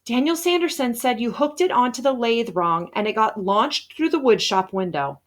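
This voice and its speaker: A woman's voice: fairly high pitch, animated, normal speed, natural voice. A woman reading a storybook, playing a blunt, exasperated schoolboy who is sarcastic with a friend. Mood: sour, accusing.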